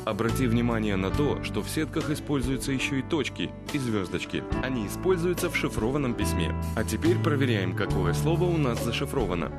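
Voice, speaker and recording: A man's voice: medium pitch, expressive, brisk, few pauses, loud music behind the voice, close to an everyday voice. A man voicing a know-it-all robot compass in a children's cartoon, explaining things patiently with a slightly teasing, instructive manner.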